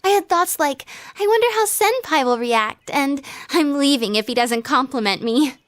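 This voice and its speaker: High-voiced, coy teen idol girl. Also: expressive, normal speed, a bit bright, no room sound. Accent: American. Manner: cutesy, coy, playful. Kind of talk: anime video game dub acting.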